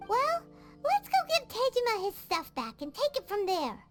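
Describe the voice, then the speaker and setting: A woman voicing a tiny, chirpy, childlike fairy companion in a video game, talkative and earnest, with expressive delivery. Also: very high-pitched, moderate pace, full character voice. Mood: urgent, curious. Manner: refers to self in third person, expressive. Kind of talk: video game cutscene voice acting. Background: game music.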